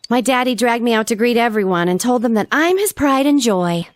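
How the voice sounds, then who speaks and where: A woman's voice: a little high, expressive, brisk, no room sound, close to an everyday voice. A woman playing a cute, pampered teenage girl in a video game, blunt and complaining with a demanding, coquettish streak. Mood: exasperated. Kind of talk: video game dialogue acting.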